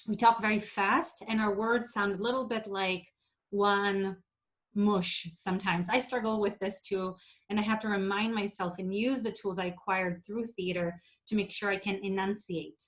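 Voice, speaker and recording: A woman from Israel whose first language is Hebrew, coaching public speaking in a clear, deliberate way and sometimes exaggerating words to demonstrate. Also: medium pitch. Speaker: presentation skills coach. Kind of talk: instructional talk.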